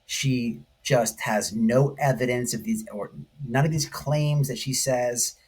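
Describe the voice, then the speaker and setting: A man's voice: mid-range voice, steady tone, slow, a little mellow, husky. Emphatic man doing casual commentary. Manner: emphatic, slangy, repeatedly checks in with "right?". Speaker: YouTube commentary host. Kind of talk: informal true crime video commentary.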